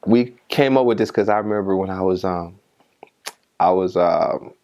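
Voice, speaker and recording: A man born in Liberia hosts a relaxed podcast conversation, his voice sitting very low. He talks in loose, looping phrases, repeating words and restarting often as he shares his story. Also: moderate pace. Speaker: podcast host. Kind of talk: casual interview chat.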